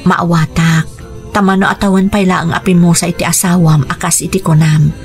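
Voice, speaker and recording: A woman playing a devoted, gentle young woman hoping to become a stepmother, in a radio drama. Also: on the low side, flat delivery, moderate pace, soft background music, lightly acted. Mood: hesitant, devoted. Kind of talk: Ilocano radio drama acting.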